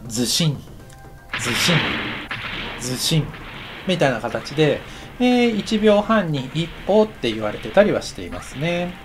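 A man teaching anime directing in a relaxed tutorial voice, acting out footsteps and sound effects as he explains. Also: on the high side. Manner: lively, acts out sounds and footsteps. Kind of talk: casual tutorial narration.

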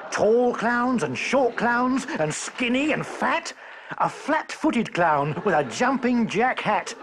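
A man's voice: very high voice, background noise. A man reading a playful children's poem in a bouncy, sing-song way with chuckles. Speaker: poem reader.

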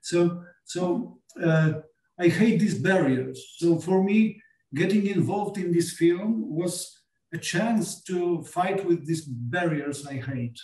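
Polish guy on a film panel, slow hesitant english, lots of ums, kinda higher voice, roomy echo. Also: darkish tone. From Poland. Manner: earnest, searching for words with many fillers. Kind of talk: panel conversation. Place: small meeting room with sofas. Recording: echoey room, voice far from mic.

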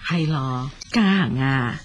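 A woman's voice: extremely low pitch, takes it slowly, slight background noise. A woman playing an ailing, loving elderly mother in a radio drama, speaking Hong Kong Cantonese with motherly exclamations and sighs. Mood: gentle, coaxing.

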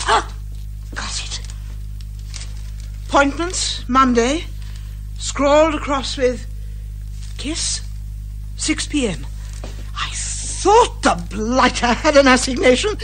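British lady major turned sleuth, high voice, unhurried, bossy, theatrical, radio comedy. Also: expressive, a bit bright, soft background music, full character voice, rough recording. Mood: flustered, excited. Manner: brisk, commanding, theatrical. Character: bossy, eager older lady amateur detective, a retired major. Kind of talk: radio detective comedy acting.

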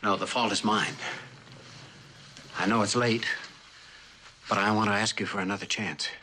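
An actor playing a troubled, remorseful husband in a mid-century television drama, speaking in an earnest, humbled way. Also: male voice, lowish voice, fairly even intonation, moderate pace, pushed and bright, a little hiss or hum. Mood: remorseful, pleading.

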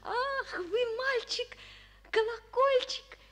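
A woman's voice: very high-pitched, extremely slow, fairly bright tone, heavily acted. A woman playing a curious, well-mannered little boy in an old radio play, earnest and polite. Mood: polite, wondering. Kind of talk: 1940s radio play acting.

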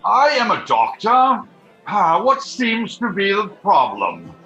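An older man playing a pompous, scheming Edwardian doctor in a radio play, with theatrical, deliberate delivery. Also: high-pitched, wildly swooping pitch, pushed and bright, dull audio, soft background music, exaggerated voice. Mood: brisk, commanding. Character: pompous, scheming, chauvinist male doctor villain. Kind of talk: period radio play acting. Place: at home on a video call. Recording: roomy home video-call sound.

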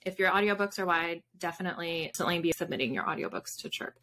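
Woman, thirties, bright and forward voice, casual author-guest chat, thinking out loud, some noise on the recording. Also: fairly low pitch, very fast. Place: home room with bookshelves, on a video call.

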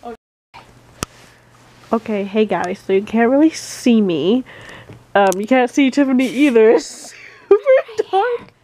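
Young woman, vlogger's friend: higher-pitched, very animated, giggly and self-deprecating, casual bedroom chat. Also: a little slow, pauses often, slight background noise. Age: young adult. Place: bedroom, indoors. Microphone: handheld camera, a little away.

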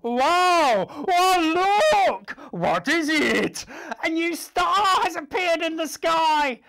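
A man voicing excited, joyful storybook characters who exclaim their news loudly. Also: very slow, vocal fry, full character voice, rough recording. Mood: amazed. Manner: high, loud exclaiming.

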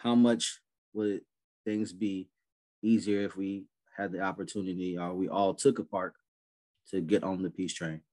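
Relaxed man hosting a video call. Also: sits low, unhurried. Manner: relaxed, many fillers and restarts. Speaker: event host. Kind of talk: casual moderating on a video call. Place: indoor room on a video call. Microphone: webcam on a video call.

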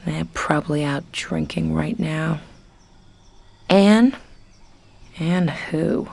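A woman's voice: low voice, very slow, vocal fry, darkish tone, full character voice. A stranded, anxious young woman. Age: young adult. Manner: wry, talking to herself. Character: young woman stranded on a dinosaur island, frightened. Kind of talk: game dialogue and narration.

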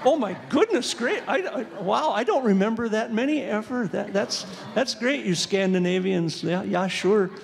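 A man's voice: high-pitched, lively intonation, moderate pace, vocal fry, hardly any pauses. A man in his sixties joking with a concert audience from the piano, folksy and full of fillers. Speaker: singer and entertainer. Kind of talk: chatty concert patter between songs. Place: church sanctuary, indoors. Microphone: stand mic at the piano. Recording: long church reverb, piano behind.

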